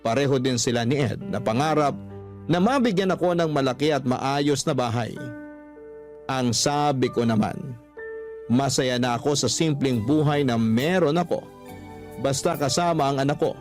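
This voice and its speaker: A man giving an earnest, measured dramatic narration of a heartfelt first-person story, radio style. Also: mid-range voice, faint music behind.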